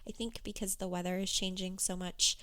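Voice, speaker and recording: A woman business coach talking candidly on her solo podcast, with a hoarse, froggy voice. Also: mid-range voice, fairly even intonation, fairly quick, pushed and bright. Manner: candid, conversational, with fillers.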